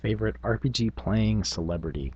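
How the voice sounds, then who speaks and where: A man's voice: lowish voice, lively intonation, a little fast, darkish tone, breathy edge. A middle-aged man talking informally and reflectively as a channel host, hesitating and thinking aloud as he goes. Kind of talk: casual reflective vlog on tabletop gaming.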